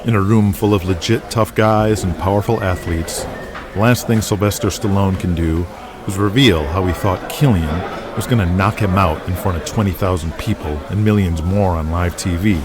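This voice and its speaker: A man's voice: deep voice, moderate pace, background noise. An American man giving scripted dramatic narration as the narrator of an audio series. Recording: music under the voice.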